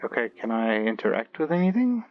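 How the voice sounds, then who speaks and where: Male game reviewer, medium pitch, informal let's play chat, noticeable background noise. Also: sing-song, a little fast, highs cut off. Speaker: game reviewer playing and commenting. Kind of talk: casual let's play commentary.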